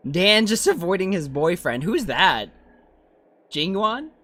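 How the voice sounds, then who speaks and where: A man's voice: high voice, very animated, slow pace, pushed and bright, no echo. A man doing casual, chatty playthrough commentary as a game streamer, musing aloud. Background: faint game music.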